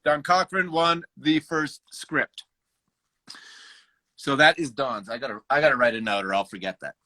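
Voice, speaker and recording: A man hosting a live raffle in casual livestream chat, haltingly thinking aloud as he handles each task. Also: medium pitch, sharp tone, no room sound. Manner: halting, thinking aloud while doing tasks.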